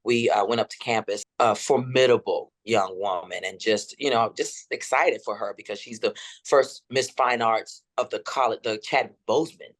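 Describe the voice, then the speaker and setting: A woman in her fifties with a deep, rolling voice, telling her story dramatically as an interview guest. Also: moderate pace. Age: 50s. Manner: dramatic and expressive.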